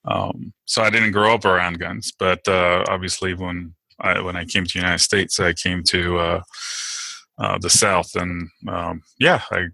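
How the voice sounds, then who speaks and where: A man's voice: low-pitched, flat delivery, unhurried, creaky, pushed and bright, no room sound. A middle-aged man from Turkey, answering interview questions in a casual, hesitant way with many fillers and restarts. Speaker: podcast guest.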